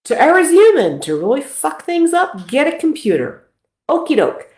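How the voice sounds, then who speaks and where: A woman's voice: sits high, big pitch swings, normal speed, a little mellow, echoey room. A middle-aged woman from Philadelphia, a nurse practitioner hosting a casual livestream talk in a chatty, self-deprecating way.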